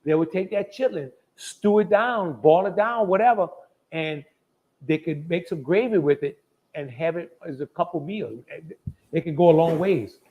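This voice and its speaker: A man's voice: a little high, moderate pace, lo-fi sound. A man hosting a live cooking demonstration in a folksy, chatty, colloquial way. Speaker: host of a live cooking show.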